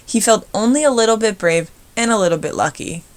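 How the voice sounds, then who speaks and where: A woman's voice: mid-range voice, animated, brisk. A woman narrating a children's storybook expressively as its reader.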